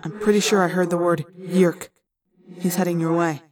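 A woman's voice: fairly low pitch, little pitch movement, normal speed, darkish tone, recorded from a distance, natural voice. Woman voicing a casual, slangy teenage boy lookout. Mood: alert, casual.

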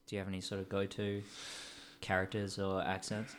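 A man's voice: low-pitched, completely flat delivery, a little fast, fairly bright tone, a little hiss or hum. A man from Australia hosting a podcast, asking playful, teasing questions. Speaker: podcast host. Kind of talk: casual podcast interview.

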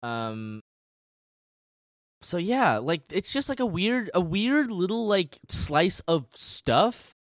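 Animated, rambling man podcast co-host. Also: high voice, slow pace, no room sound, studio quality. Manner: rambling, hesitant explainer with many hedges. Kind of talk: casual podcast chat.

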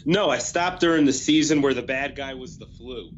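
A man with a stuffy, nasal voice from a recent cold, chatting in a deadpan, matter-of-fact way. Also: mid-range voice, normal speed, muffled recording. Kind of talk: casual chat.

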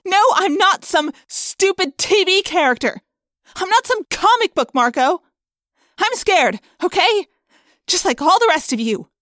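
Woman acting a high, fiery teenage girl. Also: expressive, unhurried, no echo, studio quality, full character voice. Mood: scared, angry. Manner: loud, emphatic, defiant outbursts. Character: fiery, sarcastic, overwrought teenage girl fighter.

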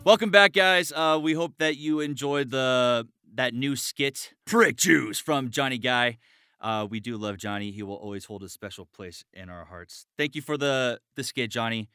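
Male host, average pitch, clean smooth voice, casual interview chat, dry close sound. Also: normal speed, sharp tone, no room sound. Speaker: show host.

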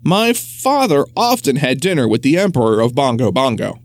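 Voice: medium pitch, animated, moderate pace, no room sound, lightly acted. A storyteller voicing Clara, a clever young woman traveler who scolds and coaxes. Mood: coaxing. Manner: scolding, coaxing.

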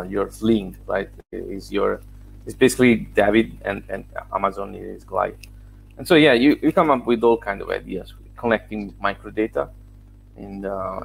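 Gravelly-voiced man explaining. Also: fairly low pitch, poor audio. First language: Italian. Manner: explanatory, walks through examples step by step. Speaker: guest, software founder. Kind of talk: casual interview answers.

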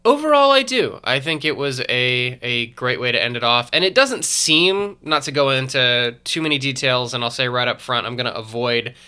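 A man with a congested, stuffy voice, chatting casually as a podcast guest in a thoughtful, measured way. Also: medium pitch, lively intonation, sharp tone, clean tone. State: congested from allergies.

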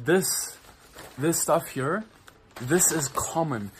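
Male minister, earnest direct-to-camera talk, halting repeats. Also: medium pitch. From South Africa. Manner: earnest, repeats words often when searching for them. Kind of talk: casual video talk to viewers.

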